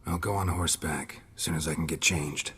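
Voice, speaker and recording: An actor playing a gruff, terse middle-aged monster hunter in a video game, talking in a dry, clipped way. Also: male voice, very deep voice, fairly even intonation, moderate pace, a bit bright, heavily acted.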